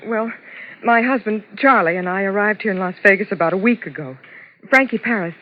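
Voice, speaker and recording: An actress in a 1950s radio suspense drama playing a frightened but composed married dancer, telling her story in a measured, hesitant way. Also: medium pitch, natural voice. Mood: anxious, composed. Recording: muffled, hissy 1950s radio recording.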